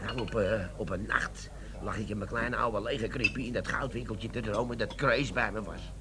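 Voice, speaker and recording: An actor playing a gruff, bossy army bomb-disposal sergeant in a Dutch radio play. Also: male voice, on the low side, fairly even intonation, normal speed, a crowd in the background, lightly acted. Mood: storytelling, dramatic.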